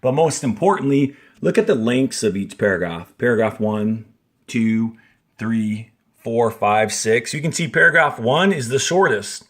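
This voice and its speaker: A man teaching a grade-school reading lesson, explaining step by step and reading aloud, with pauses on key words. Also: mid-range voice, a bit bright. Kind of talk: instructional lesson with passage read aloud.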